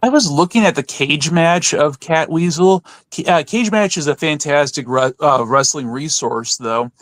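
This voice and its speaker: A man's voice: a little high, moderate pace, a bit bright, no echo, studio quality. A man in a casual, chatty podcast conversation, telling anecdotes as a co-host. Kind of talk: casual chat about wrestling.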